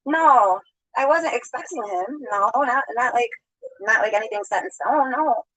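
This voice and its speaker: A woman's voice: a little high, expressive, normal speed, fairly bright tone, no room sound, rough recording. A woman chatting on a live stream, rambling, greeting viewers and laughing often, in playback with altered speed. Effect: sped-altered playback. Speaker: livestreamer. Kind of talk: casual live-stream chat.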